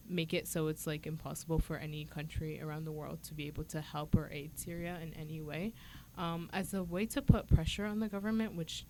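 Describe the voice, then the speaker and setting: Young female student, low and even, hesitant talker with lots of "um" and "like". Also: slight background noise. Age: young adult. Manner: hesitant, many fillers like "um" and "like". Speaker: student club member. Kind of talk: casual interview answers.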